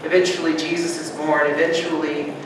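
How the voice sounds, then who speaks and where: A man preaching a sermon as pastor, moving between loud rousing calls and soft hushed reflection, joking easily with his congregation. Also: fairly high pitch, level tone, a little fast. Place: church sanctuary. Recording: distant mic, strong room echo, background noise.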